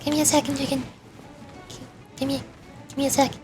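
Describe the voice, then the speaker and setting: A woman's voice: high-pitched, little pitch movement, a little fast. A woman doing casual live game commentary and chatting with viewers in a playful, self-deprecating way. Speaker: VTuber game streamer. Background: game music.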